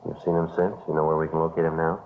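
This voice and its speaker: An American actor playing a stern, matter-of-fact police sergeant in a 1950s radio procedural, clipped and businesslike. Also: male voice, a bass voice, level tone, very fast, a little hiss or hum. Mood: calm, questioning. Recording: narrow, phone-like 1950s radio recording.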